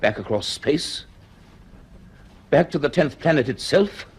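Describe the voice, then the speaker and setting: An actor playing a grave, cultured middle-aged professor in a vintage radio drama, speaking in a measured, dramatic way. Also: male voice, on the high side, expressive, husky, full character voice. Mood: wondering, alarmed. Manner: measured, deliberate, dramatic delivery. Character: grave, cultured male professor narrating his dreadful discovery.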